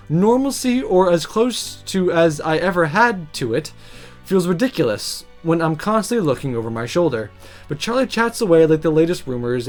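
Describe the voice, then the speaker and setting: A woman let's player narrates a visual novel in the first person. Her reading is dramatic and introspective with lively pitch movement, and soft music runs behind her.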